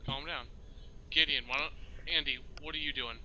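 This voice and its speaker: A man's voice: medium pitch, steady tone, normal speed, sharp tone. A man improvising in a group role-play over voice chat, organizing the other players and giving directions. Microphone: online voice chat. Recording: noisy online voice chat.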